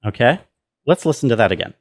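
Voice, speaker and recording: A man's voice: medium pitch, expressive, quick pace. A man explaining sound design step by step in an informal tutorial style, often dropping in "you know" as he goes. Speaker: sound designer presenting a walkthrough.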